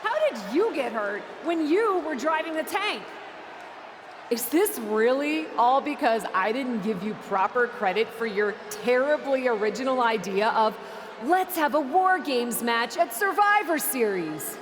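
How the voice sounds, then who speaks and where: A cocky female wrestler making a scripted video game promo. Her fairly high voice swoops with showy sarcasm and self-importance. It rings with long reverb over clear noise. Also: lively intonation, measured pace. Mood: sarcastic, annoyed.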